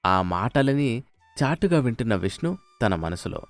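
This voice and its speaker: A man's voice: medium pitch, sing-song, measured pace, no echo. A man narrating a scripted moral story as a storyteller, with music behind him. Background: faint music.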